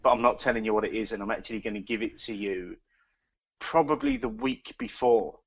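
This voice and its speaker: A man's voice: lowish voice, very animated, a little fast, gravelly, muffled recording. A man co-hosting a beer podcast, chatting enthusiastically as he tastes, often repeating words as he talks. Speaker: podcast co-host. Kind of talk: casual chat.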